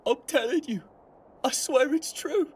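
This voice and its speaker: A woman playing a desperate, starving young male thug, pleading and defensive in an anime audio drama, with a husky, strained voice. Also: sits high, a little slow, a little mellow. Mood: exasperated, desperate.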